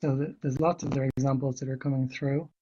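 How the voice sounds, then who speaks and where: Hoarse man presenting a webinar. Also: mid-range voice, fairly even intonation, mellow. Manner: measured, thinking aloud with fillers and restarts. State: hoarse from a cold. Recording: dull lo-fi webinar audio.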